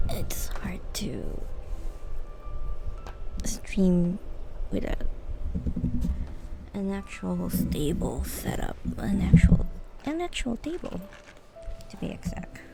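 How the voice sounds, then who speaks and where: A woman game streamer giving casual live commentary, musing in short, unhurried fragments. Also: fairly low pitch, vocal fry. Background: game music.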